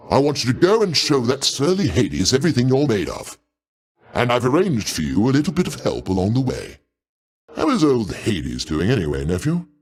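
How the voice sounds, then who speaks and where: A man voicing a mighty older god king for a video game, a genial, doting uncle with grand, courtly old-fashioned diction. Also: mid-range voice. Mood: wry, jovial. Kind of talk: theatrical video game voice acting.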